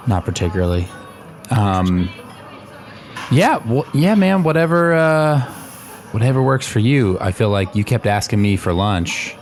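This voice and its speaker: A man's voice: fairly low pitch, very animated, a little slow. A man playing an easygoing, mildly baffled office acquaintance in an improvised radio comedy, speaking casually and hesitantly. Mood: baffled, agreeable.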